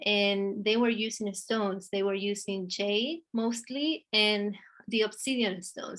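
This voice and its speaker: A woman from Argentina with an Argentinian Spanish accent, an artist in a virtual conversation, talking slowly and haltingly with many restarts. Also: mid-range voice, a bit bright. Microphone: video call.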